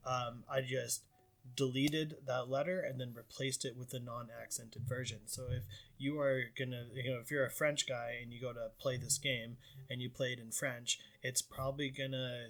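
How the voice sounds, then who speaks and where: A man from Canada, an indie game developer talking through his game in a casual, rambling devlog with lots of fillers. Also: mid-range voice. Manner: rambling, self-deprecating, frequent fillers.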